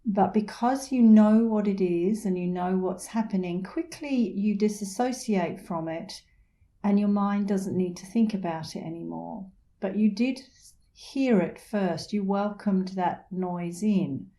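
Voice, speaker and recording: Middle aged lady doing guided meditation, really slow n soothing, soft mellow voice. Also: mid-range voice. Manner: soothing, unhurried, gentle pauses. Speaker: meditation instructor.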